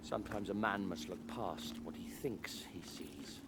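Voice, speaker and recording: An actor playing a seasoned Roman commander who speaks slowly and gravely in a video game cutscene. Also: male voice, deep voice, heavy background noise. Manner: slow, measured and grave. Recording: game audio with battle ambience.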